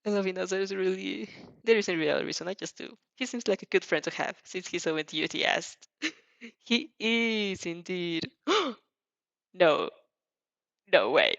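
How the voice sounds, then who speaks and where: A woman's voice: fairly low pitch, big pitch swings, a little slow, gravelly, a bit bright, no echo, highs cut off. A woman reading a fan fiction story aloud with casual asides, giggly and often stumbling over her words.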